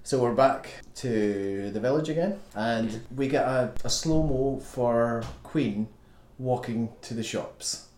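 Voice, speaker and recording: A man's voice: mid-range voice, slow pace, hardly any pauses, darkish tone, echoey room. A man co-hosting a casual film commentary chat, with dry, sardonic wisecracks. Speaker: podcast co-host.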